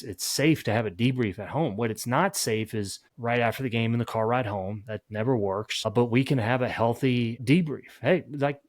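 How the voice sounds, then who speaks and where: A man's voice: medium pitch, a little mellow. Candid, emphatic male podcast guest. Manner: candid, emphatic, punchy short phrases. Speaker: podcast guest, sports psychologist. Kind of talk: informal conversational advice.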